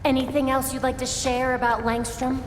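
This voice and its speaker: Actress playing businesslike young vigilante in a game, earnest, roomy reverb n noise. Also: female voice, high voice, level tone, fairly quick, close to an everyday voice. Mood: probing. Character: determined young female vigilante detective. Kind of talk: dramatic video game cutscene acting. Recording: reverberant room with clear background noise.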